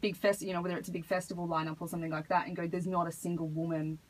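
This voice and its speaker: A woman answering as a radio interview guest, earnest and measured, picking her phrasing hesitantly as she explains. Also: on the low side, little pitch movement, low-quality recording. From Australia. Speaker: hip hop artist, interview guest. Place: radio studio. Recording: noticeable background noise.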